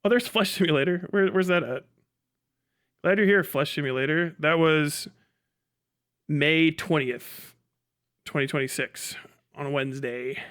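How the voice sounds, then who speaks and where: A man's voice: a little high, measured pace, pauses often, fairly bright tone, clear, resonant tone. A man doing casual livestream commentary, swearing and muttering to himself at a close mic. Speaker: livestream host reacting to a video.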